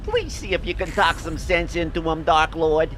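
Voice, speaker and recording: A man's voice: on the high side, animated, normal speed, noisy background, natural voice. An actor playing a whining, self-pitying male villager with a childish, pleading manner in a comic video game. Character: pitiful, whining male villager begging his lord. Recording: loud music under the voice.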